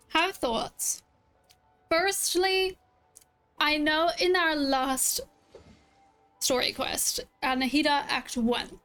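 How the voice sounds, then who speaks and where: A woman's voice: high-pitched, a bit bright. A young woman game streamer chatting casually through her reactions and opinions on a game. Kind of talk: casual gameplay reaction and review. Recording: faint game music behind voice.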